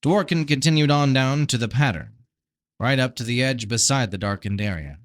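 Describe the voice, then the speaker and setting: A man narrates a fantasy novel in a tired voice. His pitch sits in the middle of the male range, and the recording is close and dry. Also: expressive, normal speed, no room sound. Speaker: amateur audiobook reader.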